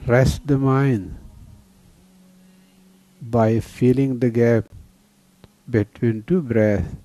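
Slow, calm man guiding meditation. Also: medium pitch, no echo, rough recording. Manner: slow, calm, with long pauses. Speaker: meditation teacher. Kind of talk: guided meditation.

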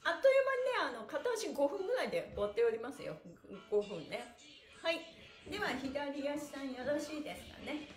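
A woman's voice: high-pitched, very animated, brisk, soft background music. A middle-aged woman leading a live stretching class in a cheerful, encouraging, playfully polite way with rhythmic chants. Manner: polite, playful honorifics for body parts. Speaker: stretching instructor. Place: small bare-walled room indoors. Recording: echoey room.

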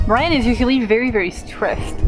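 A woman's voice: fairly high pitch, a little fast, music in the background. A woman in her thirties from France with a French accent, chatting playfully to a handheld camera aboard a sailboat in a marina. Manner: playful, teasing. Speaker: sailing vlogger. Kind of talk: casual vlog chat. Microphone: handheld camera at arm's length.

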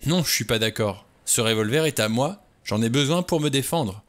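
A man's voice: mid-range voice, expressive, normal speed, lightly acted. A man reading an audiobook, voicing a cool, self-assured man with a dry, mocking way of talking.

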